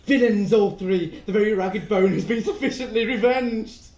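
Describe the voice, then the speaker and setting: A man's voice: sits high, moderate pace, a little mellow, reverberant. A man playing a vengeful, taunting avenger in a Jacobean verse audio drama, declaiming with gloating menace.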